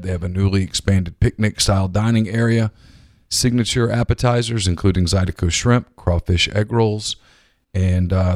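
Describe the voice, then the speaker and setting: Deep-voiced man co-hosting. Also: no echo, clean studio sound. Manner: chatty, rambling. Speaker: podcast co-host. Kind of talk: casual sports talk and live ad reads. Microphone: studio microphone.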